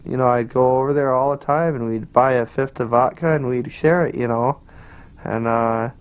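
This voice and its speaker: A man in a documentary interview, talking in a slow, rambling, earnest way. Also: mid-range voice, fairly even intonation, smooth. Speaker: film subject. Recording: muffled film audio with guitar music.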